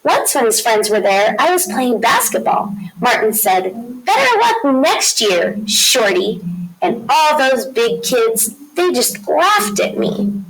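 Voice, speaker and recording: A woman teacher reads a children's picture book in a high voice that swings up and down expressively. She takes her time, stretching key words and pausing for asides to young listeners. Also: rough recording. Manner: expressive, drawn-out emphasis, explains pictures.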